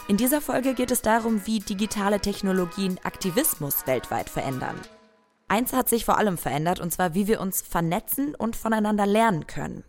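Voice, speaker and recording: A woman host reading a scripted podcast introduction in a clear, friendly voice. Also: mid-range voice, expressive, fairly quick, a little mellow, soft background music.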